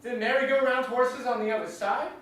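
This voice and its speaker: Genial rural storyteller, high male voice, folksy, stage drama, distant echoing room, faint noise. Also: fairly even intonation, brisk, a bit bright. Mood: puzzled. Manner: folksy rural dialect, drawn out. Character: genial, folksy small-town countryman telling stories. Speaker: actor in a staged reading. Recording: echoey hall, distant mic, faint noise.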